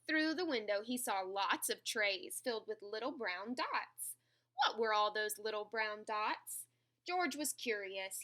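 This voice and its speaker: A woman reading a children's picture book aloud in an expressive, animated way, pausing over phrases for young listeners. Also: fairly high pitch. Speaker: storybook reader.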